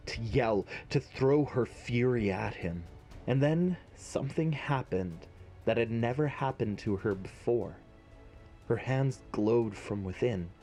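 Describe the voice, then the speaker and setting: Guy narrating a story, dramatic and measured, lowish voice, takes his time, bit of music under it. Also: a little mellow.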